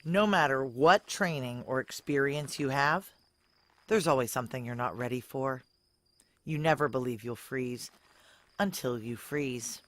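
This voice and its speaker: A woman's voice: very deep voice, unhurried, no echo. An actress playing a tough, wary, jealous woman who narrates a noir audio drama in a terse, sardonic, guarded way. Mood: brooding.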